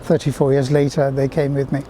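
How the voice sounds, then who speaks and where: A middle-aged man from Fiji, answering interview questions in a reflective, measured way. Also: medium pitch, monotone, dark tone, a little hiss or hum.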